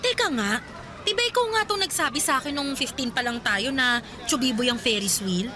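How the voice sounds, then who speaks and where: A woman's voice: sits high, animated, a little fast, bright, forceful tone, slight background noise. An actress playing a cheeky, giggly young woman with a Filipino accent in a radio drama, teasing and exclaiming playfully. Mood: gleeful teasing. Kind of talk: Tagalog radio drama acting.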